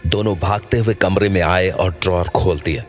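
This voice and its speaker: A man with a very low-pitched voice narrates a scripted horror story. Clear background music plays behind his storytelling. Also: normal speed.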